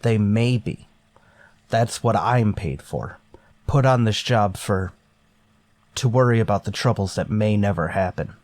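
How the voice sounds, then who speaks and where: A man's voice: on the low side, unhurried, pauses often, a little mellow, natural voice. An actor playing a stern, wary male starship captain in a sci-fi radio drama, with a clipped, commanding manner. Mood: grim, wary. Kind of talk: golden age sci-fi radio drama acting.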